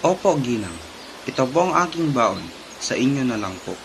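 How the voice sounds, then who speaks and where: A helpful, well-mannered young traveler of a children's tale, played by a man. The pitch is average for a male voice. There is faint background noise on the recording. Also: big pitch swings, normal speed. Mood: polite, helpful. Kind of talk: children's puppet show acting.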